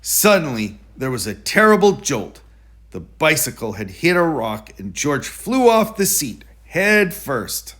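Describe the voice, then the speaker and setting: A man reading a children's story aloud in an expressive, deliberate storytelling delivery. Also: on the high side. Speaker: storybook reader.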